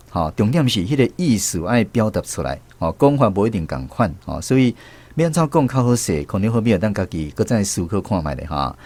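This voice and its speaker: A man with a Taiwanese accent hosting a radio language lesson in a measured, explanatory way. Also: low-pitched, a little mellow. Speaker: radio show host.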